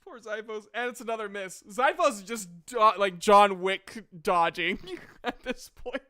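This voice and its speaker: A man's voice: high-pitched, unhurried, sharp tone, clear, resonant tone. A man narrating a tabletop game as game master, casual and improvised, with joking, profane asides. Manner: jokey, profane.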